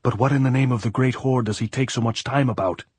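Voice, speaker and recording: A man's voice: lowish voice, steady tone, normal speed, husky, no echo. Man putting on a fierce, commanding guerrilla woman. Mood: raging. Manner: scolding, blunt, archaic phrasing. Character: fierce, commanding, raging deep-voiced middle-aged woman guerrilla.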